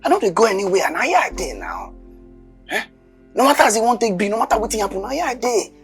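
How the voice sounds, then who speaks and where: An actor playing an eager, devoted young house helper in a film drama, with a Nigerian accent and emphatic, repetitive delivery. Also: male voice, sits high, very animated, fast talker, soft background music. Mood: earnest, reassuring.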